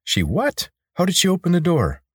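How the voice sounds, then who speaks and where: A man's voice: mid-range voice, very animated, a little fast, breathy edge, dry recording, studio quality, pitched above the performer's own voice. A man playing a young, impatient adventure hero in an audiobook, blunt and demanding. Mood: shocked. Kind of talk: audiobook character voice.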